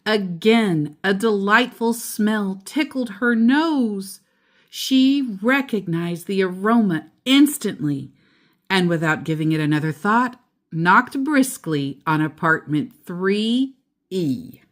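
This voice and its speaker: A female storyteller reads a children's book aloud at a slow, deliberate pace. Her pitch is average for a woman, and she puts expressive stress on the important words.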